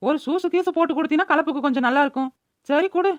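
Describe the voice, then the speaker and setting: A woman's voice: sits high, rapid-fire, no room sound, muffled recording, natural voice. A woman voicing a demanding, complaining older mother-in-law in a cartoon. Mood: grumbling. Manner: complaining, bossy. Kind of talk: cartoon voice-over dialogue.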